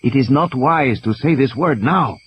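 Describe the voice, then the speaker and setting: A man's voice: mid-range voice, normal speed, highs cut off, pitched above the performer's own voice, natural voice. A man voicing a practical, no-nonsense village midwife of sixty, firm, wary and terse, in an audio play. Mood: firm warning. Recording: background hiss.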